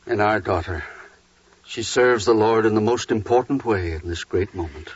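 A man's voice: fairly low pitch, moderate pace, slightly rough, no room sound. An actor playing a troubled, dutiful older father in a biblical 1940s radio drama, grave and weighty. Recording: muffled 1940s radio recording.

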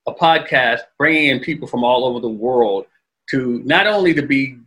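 A man's voice: medium pitch, expressive, moderate pace, sharp tone, rough recording. A man hosting a podcast interview, affirming his guest and asking eager questions. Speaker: podcast host. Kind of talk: conversational interview.

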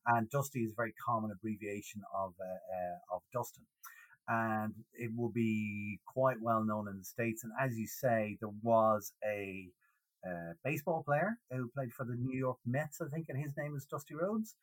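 A middle-aged Irish man, a radio DJ, telling stories in a lively way and laughing often in casual interview chat. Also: fairly low pitch, normal speed, poor audio. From Ireland. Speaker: radio DJ, guest.

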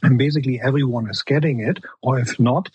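A man's voice: medium pitch, quick pace, darkish tone, husky, dry recording, highs cut off. A man with a strong German accent, a guest in a podcast interview, speaking earnestly and leaning on the word "basically" as he restarts phrases.

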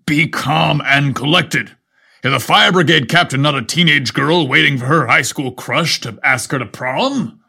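A man playing a flustered, lovestruck adult fire captain with a halting manner in a story reading. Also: fairly high pitch, vocal fry, sharp tone, breathy edge, heavily acted. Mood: self-scolding. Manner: halting, stammering.

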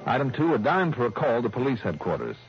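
An American actor playing a shrewd, guarded private investigator in a 1960s radio drama, narrating in clipped noir style. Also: male voice, medium pitch, big pitch swings, fast talker, lightly acted. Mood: brooding, intent. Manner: clipped, hard-boiled delivery. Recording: dull old radio recording, music behind.

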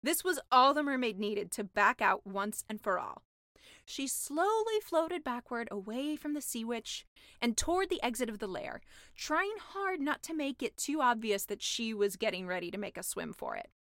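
A woman reading a comic fairy tale as a scripted storybook narrator, expressive and mock-dramatic. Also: a little high, normal speed, no room sound, clean studio sound. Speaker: author reading own story.

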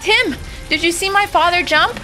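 A woman's voice: extremely high pitch, moderate pace, bright, forceful tone. A woman playing an anxious, determined young girl in an audio drama, with music behind her.

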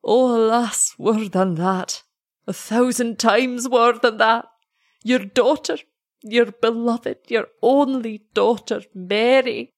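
A man's voice: sits high, little pitch movement, normal speed. A woman reading aloud, voicing a young male shepherd who is grief-stricken and pleading in a mournful, heightened way. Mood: anguished.

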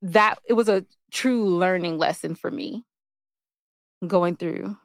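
An American woman co-hosting a relationship podcast, bantering and telling stories in a candid, playful, animated way. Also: fairly low pitch, takes it slowly, dry recording, studio quality. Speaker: podcast co-host. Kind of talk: casual relationship banter and storytelling.

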